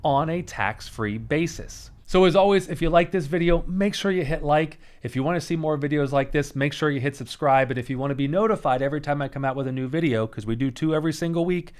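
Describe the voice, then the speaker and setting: A man's voice: on the high side, quick pace, hardly any pauses. A man hosting his own explainer channel, talking straight to the viewer in an upbeat, direct way and working through examples step by step. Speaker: financial educator, channel host. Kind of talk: explainer video to camera.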